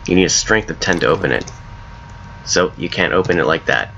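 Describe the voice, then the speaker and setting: Hoarse low-voiced man showing his game mod. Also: animated, fairly quick, sharp tone, muffled recording, slight background noise. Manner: rambling, self-correcting, laughs at his own ideas. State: hoarse from a cold. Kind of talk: casual gameplay commentary.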